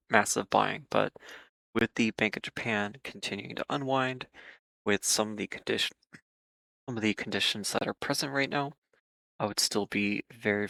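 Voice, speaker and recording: A man hosting market commentary for viewers, speaking in a measured, cautious way with frequent ums and repeated words while losing his voice. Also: on the low side, gravelly, pushed and bright, no echo, poor audio. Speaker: market analysis host.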